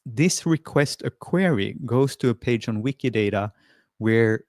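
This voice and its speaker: Guy w doing a software walkthrough on a video call, kinda gravelly, lots of uhs, friendly. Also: medium pitch, lively intonation, a little slow, no room sound, studio quality. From Sweden. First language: Swedish. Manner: hesitant, many fillers and restarts; friendly and encouraging. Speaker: presenter. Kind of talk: screen-share software tutorial.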